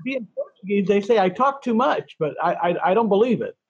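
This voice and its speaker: A man's voice: high-pitched, lively intonation, a little fast, slightly rough, no room sound, dull audio. A man in his sixties, a podcast guest, chatting casually and telling family stories with lots of restarts. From California, USA. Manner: chatty, self-deprecating, many restarts. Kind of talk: casual interview chat.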